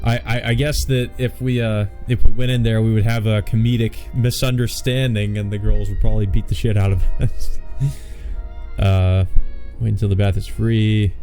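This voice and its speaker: A man's voice: on the low side, little pitch movement, normal speed, mellow. A man reading a visual novel aloud as a let's player, adding wry, offhand comments. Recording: faint music behind the voice.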